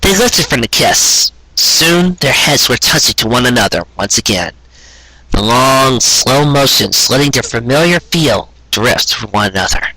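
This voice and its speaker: A man narrates a romantic tale with earnest drama. His voice is bright and forward with a husky grain, recorded dry and close. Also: medium pitch, expressive, measured pace, no room sound, poor audio. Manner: earnest, dramatic storytelling delivery. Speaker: storyteller reading fan fiction aloud. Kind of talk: scripted narration of a sentimental romance story.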